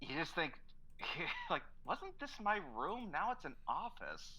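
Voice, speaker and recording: A man's voice: sits high, huge pitch swings, slow pace, sharp tone, slight background noise, poor audio. A man in his mid-thirties co-hosting from his father's house, chatting in an easygoing, storytelling way over a remote call. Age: 30s. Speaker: co-host.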